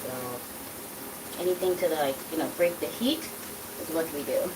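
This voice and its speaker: A woman's voice: fairly low pitch, animated, normal speed, far from the mic. A woman raised in Trinidad with a Trinidadian accent, chatting casually and rambling as she cooks for her cooking channel. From Dominica, raised in Trinidad and Tobago. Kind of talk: casual cooking vlog chat. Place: home kitchen. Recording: loud kitchen noise, voice off-mic. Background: sizzling food frying.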